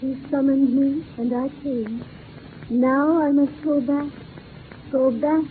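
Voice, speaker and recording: Through a thin, hissy old radio recording comes a dead bride, high and very slow. She chants in an eerie trance, insistent and otherworldly, in the manner of 1940s horror drama. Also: steady tone, phone-quality sound. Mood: relentless. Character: dead bride summoned from the grave, eerily insistent.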